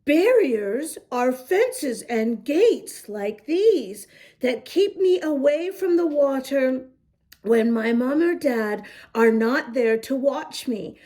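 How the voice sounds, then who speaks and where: Storybook young elephant boy played by a woman: high, cheerful, confident, eager explainer, unhurried. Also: expressive, warm tone. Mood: confident, helpful. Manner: friendly, eager, explaining patiently.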